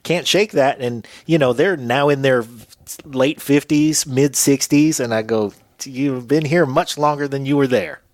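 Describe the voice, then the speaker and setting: A man hosts a podcast interview with a relaxed, conversational manner. His voice sits at a middling pitch. The sound is dry and close, with no room echo. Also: measured pace. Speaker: podcast host. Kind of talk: casual interview chat.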